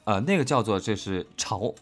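Low-voiced man chatting with lively intonation. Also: moderate pace, soft background music. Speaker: livestream co-host and audio drama cast member. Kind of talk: casual promotional livestream chat.

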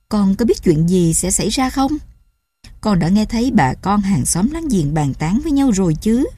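A woman's voice: mid-range voice, dark tone, husky, no echo. A woman reading a storybook voices an anxious fisherman's wife who pleads with her husband in a raised, urgent voice. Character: anxious middle-aged fisherman's wife and mother.

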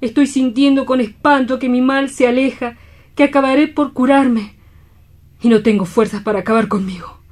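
A woman playing a grief-stricken, guilt-ridden doctor in a radio drama, solemn, halting and imploring. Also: sits high, fairly even intonation, a little mellow, lightly acted. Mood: anguished.

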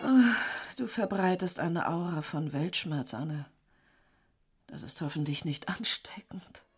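A woman's voice: fairly low pitch, lively intonation, takes it slowly. An actress in a radio drama playing a flippant, self-mocking woman with a breezy, teasing, theatrical manner. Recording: music playing under the voice.

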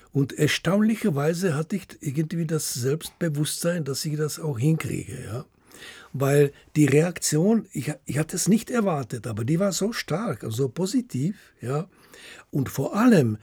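A man with a husky, gravelly voice giving interview recollections as an author, haltingly, often ending phrases with tag questions. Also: fairly high pitch, sing-song, a little mellow, dry recording. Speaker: author of radio plays, guest.

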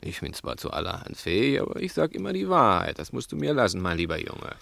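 Scheming gangster boss in an old 60s radio play, deep creaky voice, bossy and menacing, patronising. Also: male voice, big pitch swings, fast, a bit bright, no room sound, heavily acted. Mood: coaxing, brooding. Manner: insistent, theatrical, probing with rhetorical questions. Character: cunning, menacing, domineering crook, patronising to his accomplice. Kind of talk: 1960s German radio play acting.

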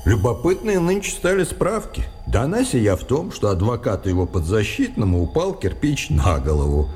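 Ironic Soviet lawyer, extremely animated intonation, theatrical, nervy, talkative, radio drama, slight background noise. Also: male voice, fairly low pitch, moderate pace. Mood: mocking, nervous. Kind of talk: radio play acting.

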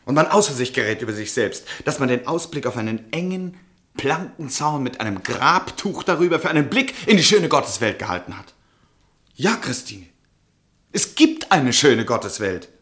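An aristocratic count in a literary radio drama. He is restless and impassioned, with a declamatory delivery at a middling male pitch. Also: very animated, normal speed, a bit bright. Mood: impassioned, exalted. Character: restless aristocratic count breaking with his wife.

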